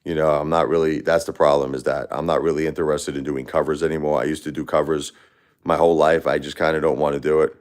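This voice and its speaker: A man's voice: very deep voice, little pitch movement, fast. A man from Brooklyn, talking casually on his livestream, rambling with repeated words and answering chatters by name. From Brooklyn, New York. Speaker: livestream host. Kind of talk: casual livestream chat with viewers.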